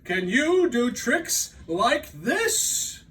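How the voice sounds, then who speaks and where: Man playing a high-pitched, excitable storybook toad. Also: recorded from a distance, a little hiss or hum, exaggerated voice. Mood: boastful. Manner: exclamatory, drawn-out pleading and shouting. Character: excitable, vain, anxious male toad, showing off then panicking.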